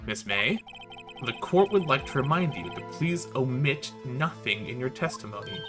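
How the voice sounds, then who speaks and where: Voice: medium pitch, animated, normal speed, music in the background, close to an everyday voice. A streamer voicing a stern old male judge in a courtroom video game, formal and admonishing.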